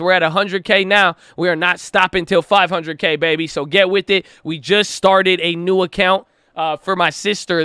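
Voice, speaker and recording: Hyped high-voiced man with slangy chat. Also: sharp tone, clean tone, dry recording, clean studio sound. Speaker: trading channel host. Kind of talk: casual hyped vlog talk.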